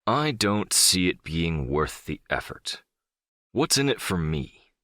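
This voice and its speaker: A man playing a deep-voiced, smug crime boss. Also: sing-song, takes it slowly, bright, forceful tone, no room sound, clean studio sound, full character voice. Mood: dismissive. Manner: controlled, smugly self-assured, condescending. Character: powerful, calmly manipulative crime boss, middle-aged man. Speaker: audiobook voice actor. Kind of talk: dramatic audiobook dialogue.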